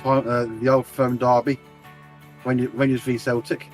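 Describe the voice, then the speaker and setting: A man's voice: mid-range voice, level tone, moderate pace, soft background music. A man streaming a game, chatting casually with friends in a rambling, stammering way and swearing often. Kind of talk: casual voice chat while gaming.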